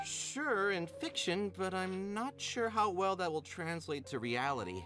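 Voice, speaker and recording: A woman's voice: on the low side, lively intonation, unhurried, fairly bright tone. A woman playing a practical, sceptical but loyal character in a video game. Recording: light game music behind.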